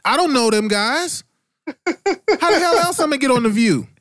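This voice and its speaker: Animated, slangy American man hosting. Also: very high-pitched, normal speed, no room sound, clean studio sound. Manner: informal, profane. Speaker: podcast host. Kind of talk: casual slangy chat.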